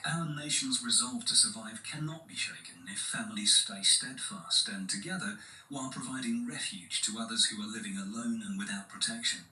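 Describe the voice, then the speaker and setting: A grave, formal government announcer, acted by a man, delivers a scripted emergency address. His tone is very sharp and bright, and the sound is roomy and distant, with faint hiss. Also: lowish voice, normal speed. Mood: solemn, resolute. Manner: solemn, formal.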